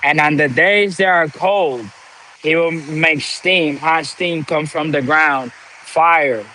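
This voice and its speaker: Slow, preachy man. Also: on the high side, pushed and bright, a little hiss or hum, rough recording. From Dominican Republic. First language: Spanish. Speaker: livestream host. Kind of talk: motivational live-stream talk.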